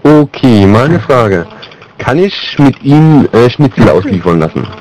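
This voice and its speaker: A man's voice: mid-range voice, very animated, measured pace, darkish tone. A man making a prank phone call, chatting casually in a straight-faced, hesitant way. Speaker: prank caller. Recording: noisy amateur recording of phone prank.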